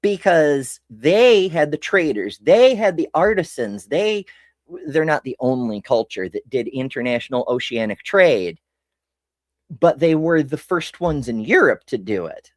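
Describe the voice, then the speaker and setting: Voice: medium pitch, sing-song, measured pace, dry recording, studio quality. An American host giving casual history commentary, rambling and self-interrupting with casual swearing. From United States.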